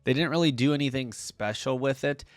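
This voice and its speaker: A man's voice: mid-range voice, a little fast, no room sound. Guy doing casual reaction commentary, chatty and rambling, really dry room sound. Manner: conversational, rambling, peppered with "like" and "you know". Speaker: reaction video host.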